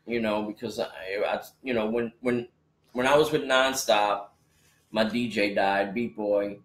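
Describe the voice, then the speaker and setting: A man's voice: fairly low pitch, fairly even intonation, unhurried, roomy sound. A man in his forties in a casual podcast chat, rambling with many restarts and fillers. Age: 40s. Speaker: podcast co-host, rapper.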